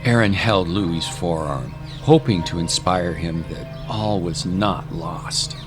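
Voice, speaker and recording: A man's voice: low-pitched, slow pace, over music. A man narrating a scripted dramatic story.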